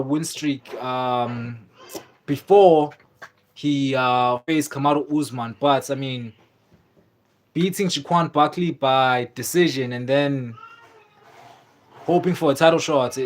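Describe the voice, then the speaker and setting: A young man hosting a livestream, chatting casually and rambling with many fillers and restarts. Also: medium pitch. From South Africa. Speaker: livestream host. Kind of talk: casual livestream chat about fights.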